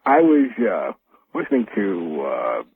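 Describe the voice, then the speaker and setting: An American man with a very rough, husky, low voice phones in to a radio show. He talks haltingly, stopping and repeating himself, over a thin, tinny phone line. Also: huge pitch swings, dry recording. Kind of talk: casual phone-in talk. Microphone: telephone.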